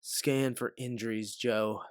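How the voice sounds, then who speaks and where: A man's voice: medium pitch, steady tone, takes it slowly, dry recording, clean studio sound, pitched above the performer's own voice, natural voice. A man voicing a tough female bounty hunter in an audiobook, giving terse, commanding lines. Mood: brisk, determined. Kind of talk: acted audiobook dialogue.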